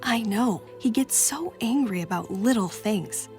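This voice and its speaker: An actress playing a gossiping, self-excusing idol worshipper in a Bible audio drama, chatty and dismissive. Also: female voice, on the high side, expressive, a little slow, a little mellow, faint music behind. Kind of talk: dramatized children's Bible story acting.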